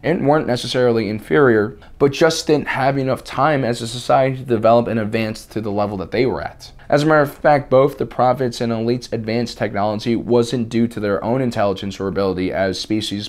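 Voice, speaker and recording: A man with a slightly raspy voice hosts a scripted video essay. His pitch sits in an ordinary middle range, and he keeps a dry, mock-serious tone through his jokes. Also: fairly quick, few pauses. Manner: deadpan, mock-serious delivery. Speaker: host.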